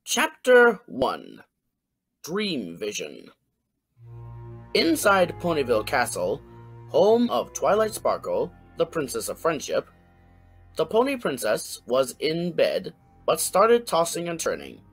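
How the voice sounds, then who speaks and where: High-pitched, slow-paced male audiobook narrator. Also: huge pitch swings, breathy edge, low-quality recording. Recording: music behind the voice. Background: background music.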